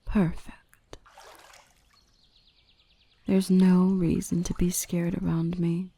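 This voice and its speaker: A woman playing a gentle, nurturing, softly dominant dark elf in an intimate ASMR roleplay, speaking close up in a soothing, reassuring, often half-whispered voice. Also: on the low side, little pitch movement, takes it slowly, gravelly, slightly rough, exaggerated voice. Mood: soothing, tender.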